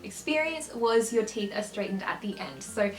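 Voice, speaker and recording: A young woman vlogging in a casual, upbeat way, with a slight lisp and a quick laugh. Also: medium pitch, expressive. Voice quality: slight lisp from clear aligners. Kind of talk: casual chat to camera. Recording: roomy echo.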